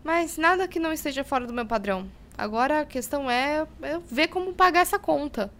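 A woman's voice: high voice, expressive, brisk, fairly bright tone. An actress playing a frazzled, impulsive young woman fretting over money, with a Brazilian accent, in an audio drama. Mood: scheming. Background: music behind the voice.

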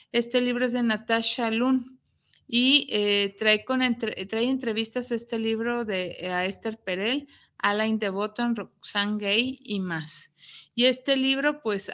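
A woman's voice: a little high, sharp tone. A woman with a Mexican accent hosting a talk show, presenting conversationally to her audience in an explanatory way. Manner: explanatory, poses rhetorical questions. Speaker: host of an interactive talk show.

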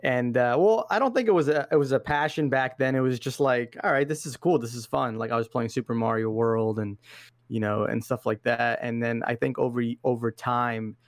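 A man's voice: mid-range voice, normal speed, no echo, clean studio sound. A young man from Iraq, a podcast guest, talking video games in a laid-back, slangy way, often saying "man" and "dude". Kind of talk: casual interview chat.

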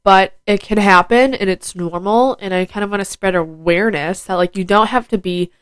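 A woman's voice: mid-range voice, moderate pace, smooth, dry recording. A fifteen-year-old girl hosting her own podcast, chatting casually with lots of fillers, her voice nasal and stuffed up from a head cold. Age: teens. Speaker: podcast host. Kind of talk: casual solo podcast chat. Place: at home. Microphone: plugged into phone.

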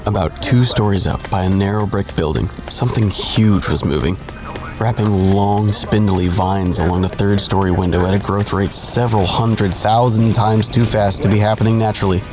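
A man's voice: fairly low pitch, fairly even intonation, moderate pace, music in the background, natural voice. An actor narrating an audio drama in the first person as a wry, sardonic man recalling his misadventures. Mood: tense, ominous.

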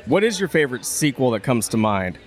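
A man's voice: medium pitch, sing-song, a little fast. A man interviewing podcast hosts in a casual, conversational chat about movies.

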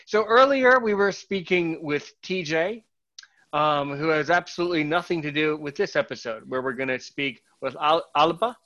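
A man's voice: fairly high pitch, normal speed, pushed and bright, dull audio. A man hosting a podcast in a casual, rambling way, with fillers and restarts. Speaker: podcast host.

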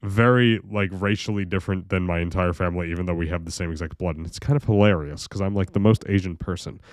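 Young American man, very low voice, loose rambling delivery, dry recording. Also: fairly even intonation, fast talker, dry recording. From United States. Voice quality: very deep voice. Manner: rambling, lots of fillers and restarts, swears casually. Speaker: video creator. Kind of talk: casual monologue vlog.

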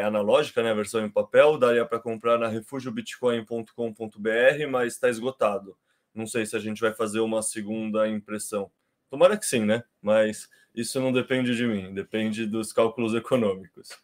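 A man in his thirties from Brazil with a Brazilian Portuguese accent, talking as a podcast guest in an unhurried, explanatory way with frequent restarts. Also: fairly low pitch, steady tone. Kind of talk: casual conversation.

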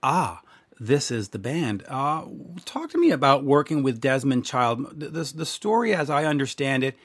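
A man's voice: mid-range voice, sing-song, moderate pace. A man interviewing a musician, asking eager questions that he restarts often.

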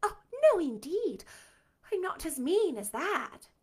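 A high, swooping young girl's voice full of theatrical despair, as a woman reading aloud puts her on. She wails and frets breathlessly, her pitch leaping all over the place. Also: big pitch swings, moderate pace. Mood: resolute.

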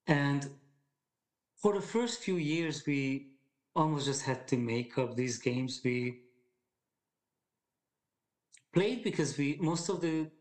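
A man in his forties, speaking as a guest in a relaxed podcast chat. He talks in a thoughtful, halting way, with many fillers and restarts as he gathers his thoughts. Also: a little high. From Hungary. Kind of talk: casual conversation.

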